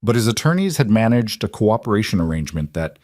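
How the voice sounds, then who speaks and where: A man reading a scripted dramatic story in a measured, solemn manner. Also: lowish voice, big pitch swings, a little mellow, no room sound, studio quality. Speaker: narrator.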